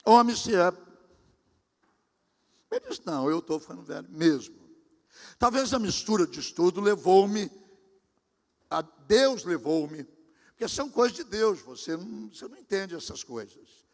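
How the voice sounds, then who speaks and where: A man's voice: high-pitched, big pitch swings, a little slow, pauses often. A pastor in his sixties giving a sermon, talking conversationally and speaking directly to his congregation. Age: 60s. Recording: long hall reverb.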